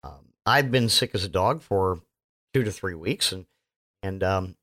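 A man hosting his own podcast, his voice still hoarse from illness, rambling casually with lots of fillers and self-mocking asides. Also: sits low, lively intonation, measured pace, a bit bright. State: hoarse, recovering voice. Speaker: podcast host. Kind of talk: casual solo podcast monologue.

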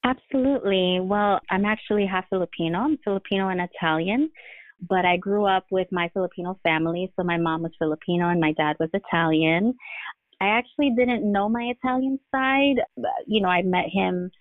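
A woman's voice: mid-range voice, brisk, no room sound. A woman from Jersey City, a guest talking openly about her background in a casual, filler-heavy way over a remote call. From Jersey City, USA. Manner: warm, open, lots of fillers. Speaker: guest, artist and singer-songwriter. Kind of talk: casual interview talk. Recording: dry tinny phone-like line.

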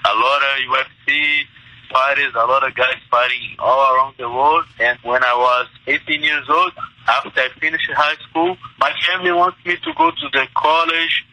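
A young man from Brazil with a Brazilian Portuguese accent, a native Portuguese speaker, answering interview questions in halting English with frequent restarts. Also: mid-range voice, pushed and bright, rough recording. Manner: halting, restarts in second language. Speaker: interview guest, MMA fighter.

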